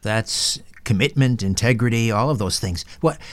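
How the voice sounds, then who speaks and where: A man hosting an interview, putting questions and points to guests in a measured way. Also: medium pitch. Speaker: interviewer, show host.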